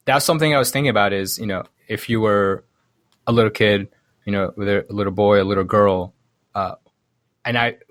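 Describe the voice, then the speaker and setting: A man from Sudan with a low-pitched voice talks as a guest in a casual interview. He explains in a halting way, thinking aloud and restarting his sentences. The sound is very dry, with no room around it. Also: fairly bright tone, dry recording. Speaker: podcast guest, programmer.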